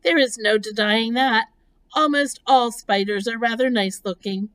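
A woman's voice: a little high, measured pace, fairly bright tone, pitched above the performer's own voice. A woman voicing a gracious, self-assured female spider in a storybook, with genteel, precise, composed speech. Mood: composed, friendly.

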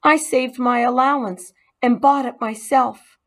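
A woman's voice: high-pitched, fairly even intonation, a little slow, pitched above the performer's own voice. A woman reading aloud and voicing a young boy in a storybook, eager and at times reproachful. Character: young boy, trusting then hurt.

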